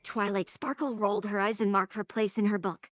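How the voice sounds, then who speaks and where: A woman's voice: medium pitch, steady tone, a little fast, slight background noise. A synthetic-sounding woman's voice reading scripted story narration.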